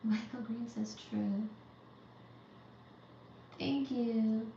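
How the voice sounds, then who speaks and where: Woman in her 30s chatting on a livestream, slow unhurried talk, far from the mic in an echoey room, dull sound. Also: on the high side, fairly even intonation, vocal fry, warm tone, a little hiss or hum. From Central Asia. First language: Uzbek. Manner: reads viewer comments aloud and greets them one by one. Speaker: livestream host. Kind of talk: live-stream chat. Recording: dull, roomy, distant from the mic.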